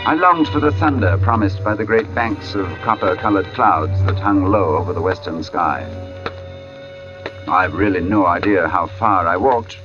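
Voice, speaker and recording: An actor playing a haunted artist narrating an eerie tale in a deliberate, brooding 1940s radio drama style, with dramatic pauses. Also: male voice, sits low, close to an everyday voice. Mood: dreamy, restless. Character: haunted artist narrating his eerie walk in oppressive heat. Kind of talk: 1940s radio thriller acting, first-person narration. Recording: muffled vintage radio recording with music.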